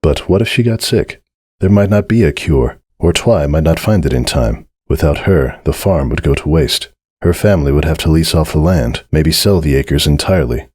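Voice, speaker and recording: A man giving a dramatic scripted reading of a story as narrator, with measured, solemn and deliberate phrasing. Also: very deep voice, a little mellow, breathy edge, no echo, clean studio sound.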